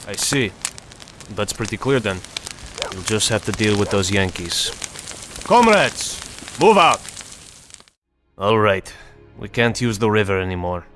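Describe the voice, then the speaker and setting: An actor playing a steady, resolute North Vietnamese commander giving matter-of-fact mission briefings in a video game. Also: male voice, on the low side, a little slow, pauses often, over music. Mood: urgent, businesslike.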